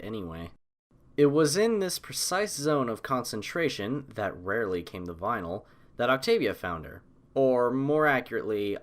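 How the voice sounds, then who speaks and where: A man narrating a scripted fan story as an audiobook, in an expressive storytelling style. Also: medium pitch.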